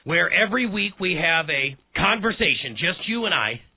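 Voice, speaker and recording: A man hosting a radio talk show, hoarse and raspy today, speaking in a folksy way straight to his listeners. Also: high voice, very animated, moderate pace, a little hiss or hum. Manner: folksy, direct address to listeners. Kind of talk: casual one-on-one radio talk. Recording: tinny phone-like sound.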